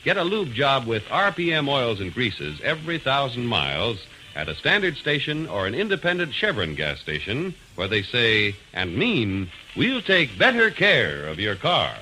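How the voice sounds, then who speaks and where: Animated American radio announcer, male. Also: mid-range voice, moderate pace, hardly any pauses, poor audio. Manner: polished, emphatic sales delivery. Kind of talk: 1940s radio announcing, scripted. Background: music.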